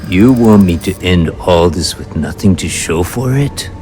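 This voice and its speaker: A man playing a courteous, calculating space pirate captain in a video game, measured, formal and dryly amused. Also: deep voice, expressive, dark tone, soft background music. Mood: dry, gracious. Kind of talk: video game dialogue acting.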